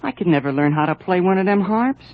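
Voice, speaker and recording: A man's voice: sits high, expressive, quick pace. A man playing a nervous, dim-witted sidekick crook in an old radio play, whiny and simple-minded. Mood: scared, pleading. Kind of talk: 1940s radio acting. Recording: noisy, muffled old radio recording.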